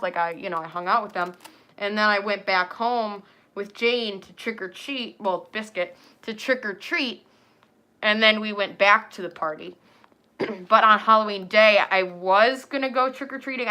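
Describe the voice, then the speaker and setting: Rambling young woman, bright voice. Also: medium pitch. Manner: rambling, filler-heavy, talks to chat. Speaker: furry livestreamer. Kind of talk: casual livestream chat.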